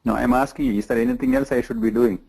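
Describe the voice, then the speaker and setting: A man's voice: medium pitch, fairly even intonation, rapid-fire, vocal fry, darkish tone. A man from Kerala with an Indian English accent, a new trainee who politely asks questions and sometimes restarts his sentences. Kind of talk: casual conversation.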